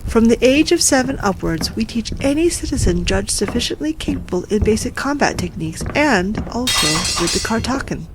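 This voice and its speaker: A woman playing an authoritative, coolly persuasive occupation official in a science fiction audio drama, formal and measured. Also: on the high side, lively intonation, noisy background. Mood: smooth, self-assured.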